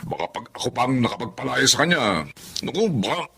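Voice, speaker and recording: Indignant, animated Filipino husband. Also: male voice, medium pitch, brisk, gravelly, slight background noise, full character voice. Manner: grumbling, scolding. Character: indignant, hard-hearted well-off husband. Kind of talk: radio drama acting.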